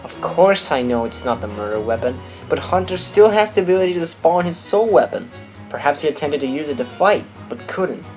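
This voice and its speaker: An actor playing a calm, logical witness in a courtroom audio drama, speaking formally and with measured reasoning. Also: male voice, fairly high pitch, soft background music, natural voice.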